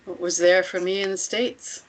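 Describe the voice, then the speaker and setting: A woman playing an older, self-assured commune matriarch in a script table read, speaking in a measured, deliberate way with pointed rhetorical questions. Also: lowish voice, little pitch movement, a little hiss or hum, lightly acted, poor audio. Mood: calm, assured.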